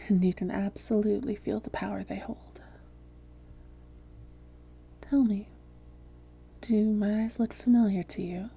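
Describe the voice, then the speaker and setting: A seductive female vampire, played by a woman, poses as a hypnotherapist in an ASMR roleplay. Her voice is very dark and breathy, with fry at the edges. She coaxes slowly and deliberately, often in a whisper, with smug control. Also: mid-range voice, fairly even intonation. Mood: soothing, hypnotic. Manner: slow, coaxing, deliberate.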